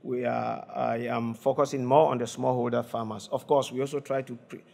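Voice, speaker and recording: A man giving a conference presentation in a halting way, with many fillers and repeated words. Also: medium pitch, fairly even intonation, creaky, darkish tone. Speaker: conference speaker. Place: conference hall.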